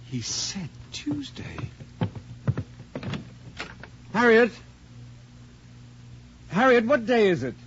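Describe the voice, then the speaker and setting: Excitable, browbeaten middle-aged radio tinkerer. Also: male voice, very high voice, takes it slowly, full character voice. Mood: puzzled, excited. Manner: muttering to himself, excitable. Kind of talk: 1980s radio horror drama acting. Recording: dull, noisy old radio recording.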